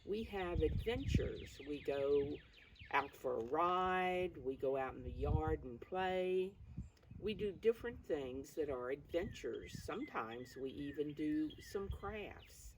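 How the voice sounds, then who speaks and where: An older woman reading aloud to young children, pausing to explain things in simple words. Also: sits low, expressive. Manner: addresses children simply, calls self by name in third person. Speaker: preschool storytime reader. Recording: distant mic, faint background noise.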